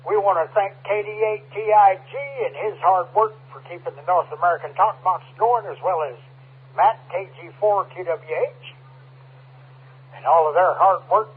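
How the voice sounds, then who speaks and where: A folksy man acts as net controller for an amateur radio check-in. His voice is high and rough with huskiness. It comes through a thin, narrow radio channel, and he courteously repeats his phrases. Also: moderate pace. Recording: tinny narrow-band ham radio transmission.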